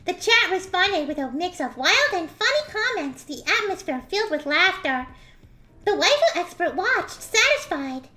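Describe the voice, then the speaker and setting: A woman streamer with a pitch-raised squeaky voice filter, casually chatting and reading a story aloud, often clearing a scratchy throat. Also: sing-song, moderate pace, pushed and bright. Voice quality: squeaky voice from a slime avatar filter. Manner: giggly, reads story text aloud with voiced quotes.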